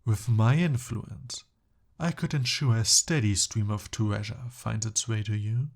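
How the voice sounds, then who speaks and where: A man's voice: lowish voice, fairly even intonation, a little slow, vocal fry. A man playing a pompous young human prince in an ASMR roleplay, speaking formally but flustered and pleading. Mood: pompous, scheming.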